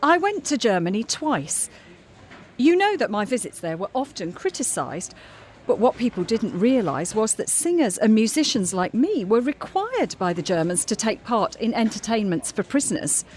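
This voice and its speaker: A proud, imperious female star, with a fairly high voice that swings widely in pitch. She speaks commandingly and theatrically, justifying herself in radio-play style. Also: big pitch swings, moderate pace, a little mellow, slight background noise. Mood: self-justifying, dramatic. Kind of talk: audio drama acting.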